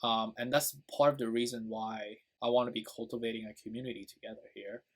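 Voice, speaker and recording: A man's voice: mid-range voice, little pitch movement, quick pace. A young man with a Chinese accent, a native Chinese speaker, talking casually to his channel's viewers in an earnest, rambling way, full of fillers and "right?" tags. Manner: rambling, earnest, many fillers and tag questions. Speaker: YouTube channel host. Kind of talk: casual personal talk to camera.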